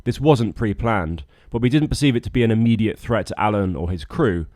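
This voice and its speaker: A man with a fairly low voice narrates a travel vlog. His delivery is scripted and wry, with dry understatement. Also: animated, fairly quick, darkish tone, no echo. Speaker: video narrator, boat skipper.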